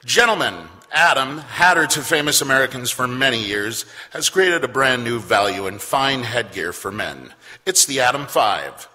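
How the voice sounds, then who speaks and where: A man plays a polished old-time radio announcer and pitchman. His delivery is emphatic and bright, with animated rises and falls, carrying through a reverberant theater. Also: moderate pace. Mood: upbeat, persuasive. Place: theater stage. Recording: echoey theater stage.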